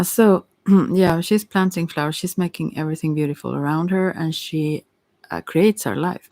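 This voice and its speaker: A woman hosts a soft-spoken tarot session in an ASMR manner. Her voice is noticeably creaky and sometimes whispery. She rambles in a relaxed, hesitant way, drifting into asides. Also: low-pitched, no echo. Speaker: host, tarot reader.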